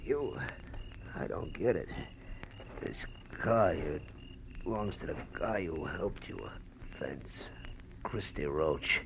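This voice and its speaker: Gravelly, fading injured man. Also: deep voice, lively intonation, full character voice. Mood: groggy, pained. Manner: halting, mumbled. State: weak, in pain, fading. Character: injured tough male insurance investigator, groggy and failing. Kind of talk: 1940s radio acting. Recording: noisy old radio drama recording.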